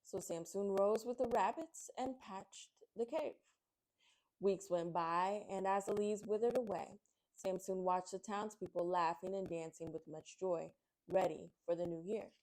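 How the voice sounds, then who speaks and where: A woman's voice: mid-range voice, steady tone, measured pace, a little mellow, a little hiss or hum, low-quality recording. A woman hosting a children's read-aloud, addressing listeners as friends and reading picture books expressively.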